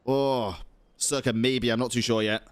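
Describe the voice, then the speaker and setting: A man's voice: mid-range voice, fairly even intonation, a little slow, faint music behind. A man giving casual live commentary as a game streamer, slangy, sweary and reactive.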